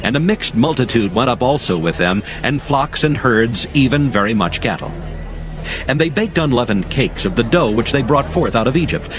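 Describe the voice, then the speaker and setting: A man narrating a dramatized scripture reading in a steady, formal manner over music. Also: medium pitch. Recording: music under the voice.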